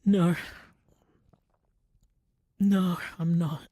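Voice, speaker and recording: Man acting as a slow, halting, wounded man. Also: high voice, little pitch movement, mellow. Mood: hurt, breaking down. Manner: halting, repeating himself, emotional. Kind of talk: audio drama voicemail monologue.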